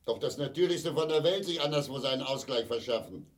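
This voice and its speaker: A man's voice: mid-range voice, animated, fairly quick, distant mic, close to an everyday voice. An actor playing a domineering old family father in a grotesque radio drama, grumbling and barking coarsely. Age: older. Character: gruff, domineering old family patriarch glued to the television.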